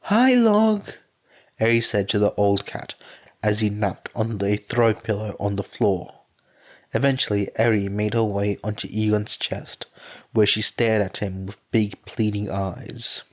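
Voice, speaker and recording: A man with a hoarse, sore voice reading fan fiction aloud as a podfic narrator, then chatting casually with his listeners. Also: lowish voice, a little slow. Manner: plain, steady reading with a strained, sore voice. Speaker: podfic reader.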